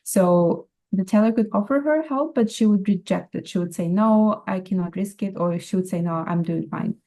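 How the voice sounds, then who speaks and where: A woman's voice: medium pitch, moderate pace, warm tone, poor audio. A woman explaining an idea in a casual discussion, hesitantly searching for her words.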